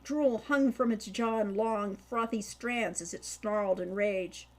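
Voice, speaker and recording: A woman's voice: medium pitch, measured pace. A woman reading scripted fiction aloud as an audiobook narrator. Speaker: audiobook reader, author.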